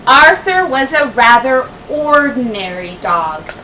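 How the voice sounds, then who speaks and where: Woman teacher doing a storybook read-aloud, bright voice, big dramatic pauses, kinda far from the mic w some faint noise. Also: sits high, sing-song. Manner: deliberate storyteller phrasing with dramatic pauses and emphasis. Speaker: teacher reading a picture book aloud.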